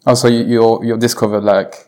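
A man's voice: medium pitch, level tone, fairly quick, warm tone. A man hosting a casual interview, asking questions in an informal, loosely phrased way. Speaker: interviewer, host.

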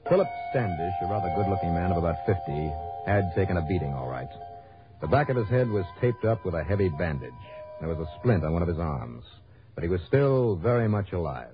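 An actor playing a wry, money-minded insurance investigator in a 1950s radio detective drama, both narrating and in dialogue. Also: male voice, sits low, animated, a little fast. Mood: dry narration. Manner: wry, sardonic. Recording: dull vintage radio recording, music.

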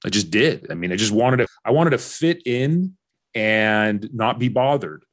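A man in his forties with an American accent and a fairly low voice. He speaks as an interview guest, candid and informal. His delivery halts and restarts, with phrases repeated for emphasis. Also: animated, measured pace. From Orange County, California. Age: 40s. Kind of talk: candid personal storytelling in conversation.

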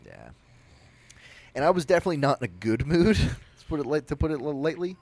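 A young man with a naturally loud voice, telling a personal story on a podcast in a rambling, animated way. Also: a little high, brisk. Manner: animated, rambling, with frequent restarts. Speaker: podcast co-host. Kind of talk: casual storytelling.

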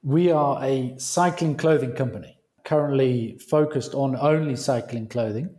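British man, mellow voice, halting. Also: mid-range voice. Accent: British English. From Britain. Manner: halting, restarts phrases often. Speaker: guest, clothing company founder. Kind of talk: remote interview. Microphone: remote call.

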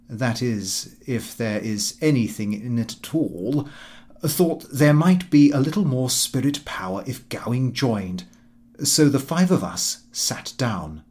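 A man's voice: mid-range voice, a little mellow. A man voicing a pompous, fussy middle-aged Victorian clerk in comic audiobook narration, prim and precise in his delivery. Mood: prim, suspicious. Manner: prim, self-important, precise.

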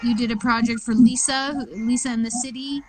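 A woman's voice: a little high, fairly even intonation, normal speed, a little mellow, poor audio. A woman hosting a casual interview, bubbly and promotional, often laughing. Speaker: interview host.

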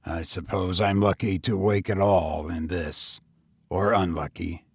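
A man doing a grim, weary gentleman tramp. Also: low voice, fairly even intonation, measured pace, breathy edge, no room sound, studio quality, voice pushed down, heavily acted. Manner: grim, subdued, clipped. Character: weary, bitter gentleman tramp, low-voiced.

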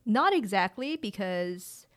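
A woman's voice: medium pitch, takes it slowly. Chatty woman in her late twenties. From rural China. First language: a Chinese village language. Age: late 20s. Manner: relaxed and chatty, with frequent "like" fillers and restarts. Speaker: guest, director of family empowerment. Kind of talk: casual interview conversation.

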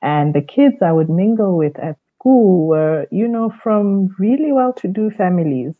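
A woman's voice: medium pitch, animated, unhurried, no echo, phone-quality sound. A woman from South Africa answering conversationally as an interview guest on a podcast. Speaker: podcast guest, leadership mentor.

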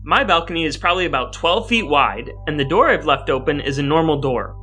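A man's voice: a little high, expressive, a little fast, bright, forceful tone, faint music behind. A man hosting a podcast with his brother, speaking in wordy, mock-grandiose phrases that move between scripted lines and banter. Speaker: podcast host.

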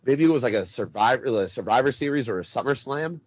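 A man's voice: mid-range voice, animated, fast talker, no echo. Guy in his 30s rambling about old wrestling, dry muffled mic. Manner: rambling, hesitant, many fillers. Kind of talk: casual wrestling chat.

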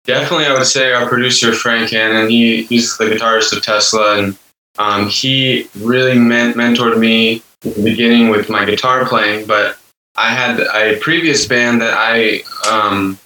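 Man in his 20s, low voice, bright edge, earnest rambling guest, far from mic, echoey. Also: fairly even intonation, normal speed, slight background noise. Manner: rambling, earnest, lots of fillers and repeats. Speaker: guest musician. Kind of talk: casual interview chat. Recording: echoey room, distant from the mic.

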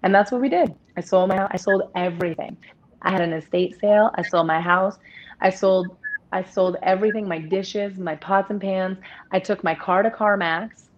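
A woman in her thirties with a deep voice, speaking openly and at length as an interview guest, with many restarts. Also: normal speed. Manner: open, rambling, restarts often. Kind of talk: candid personal conversation. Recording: dull lo-fi audio.

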